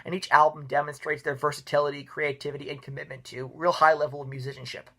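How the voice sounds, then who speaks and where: Guy doing a music list video, talks loose and chatty, voice getting hoarse from too many takes. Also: fairly high pitch, level tone, quick pace, pushed and bright. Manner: chatty, peppered with "you know" and "right". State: voice wearing out, hoarse. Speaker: music reviewer host. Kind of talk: casual video review.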